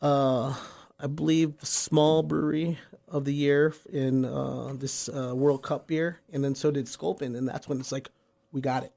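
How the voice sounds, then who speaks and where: A man's voice: mid-range voice, fairly even intonation, unhurried, gravelly, mellow. A man from San Diego, a brewery guest on a radio show, talking eagerly and repeating words for emphasis. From San Diego, USA. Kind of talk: casual radio interview. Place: radio studio.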